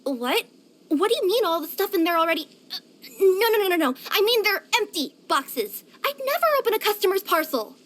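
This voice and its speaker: Super high pitched cute cat girl courier for a video game, eager n cheery, really animated. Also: quick pace. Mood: flustered. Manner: expressive, cutesy, with gasps and hesitant murmurs. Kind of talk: scripted video game character voice lines.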